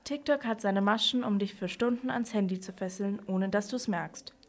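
A woman's voice: mid-range voice, normal speed. A woman presenting on a children's radio programme, explaining things in scripted dialogue.

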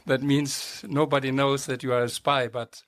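A man's voice: mid-range voice, flat delivery, moderate pace, fairly bright tone, no echo. A man with a German accent hosting a casual radio interview, joking and hesitating with many uhs. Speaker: radio host.

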